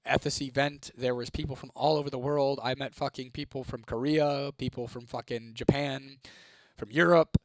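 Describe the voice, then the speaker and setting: A young man from Ohio, chatting casually on a live stream, laid-back and swearing freely, his voice a little hoarse. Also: medium pitch, fairly even intonation, moderate pace, few pauses, no echo, poor audio. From Toledo, Ohio, United States. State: voice getting hoarse. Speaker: livestreamer. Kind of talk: casual live-stream chat reading comments.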